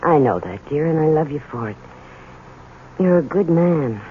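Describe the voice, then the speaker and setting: A woman's voice: low-pitched, lively intonation, moderate pace, narrow, tinny audio. A woman playing a worried, supportive wife and mother in a 1970s radio drama. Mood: tender. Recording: thin, noisy old radio broadcast.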